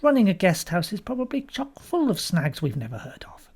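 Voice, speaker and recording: A woman's voice: medium pitch, big pitch swings, brisk, a little mellow, slightly rough, pitched above the performer's own voice. Woman as young husband, audiobook character: casual, reassuring, practical, varied intonation. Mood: grumbling.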